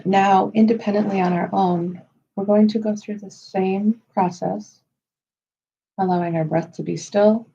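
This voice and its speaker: A woman leading a guided meditation in a slow, soothing style, with measured, unhurried phrases and pauses. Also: medium pitch, steady tone, warm tone, husky, highs cut off.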